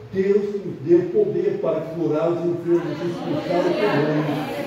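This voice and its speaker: A man's voice: high voice, warm tone, a little hiss or hum. An older man preaching a sermon in a church, a foreign-born pastor speaking a learned language, emphatic and repetitive. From abroad, not Brazil. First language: not Portuguese. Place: church hall. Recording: very echoey church hall, distant muffled mic.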